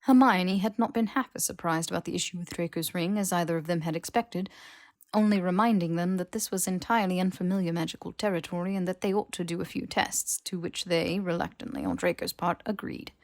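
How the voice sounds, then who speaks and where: A woman's voice: lowish voice, steady tone, fairly quick, no room sound. Woman narrating fiction, dry recording. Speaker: audiobook narrator.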